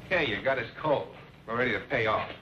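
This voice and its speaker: An actor playing a disgruntled, hot-tempered smuggled passenger in an old radio drama, complaining and confrontational. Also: male voice, mid-range voice, quick pace, pushed and bright, lightly acted. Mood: angry, protesting. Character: disgruntled hot-tempered man being smuggled across the border. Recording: muffled, noisy old radio recording.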